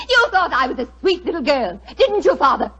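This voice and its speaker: A woman's voice: high voice, fairly bright tone, slight background noise, exaggerated voice. An actress playing a deranged, murderous young woman who gloats and taunts in 1940s radio drama style. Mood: taunting defiance. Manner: gloating, taunting, hysterical laughter and cries. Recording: dull vintage 1940s radio recording.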